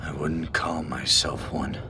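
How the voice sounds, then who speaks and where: A man playing a desperate, defiant captive father in an audio drama, speaking in a strained, halting way. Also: a bass voice, flat delivery, music in the background. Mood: weary, defiant. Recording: clear background noise and ambience.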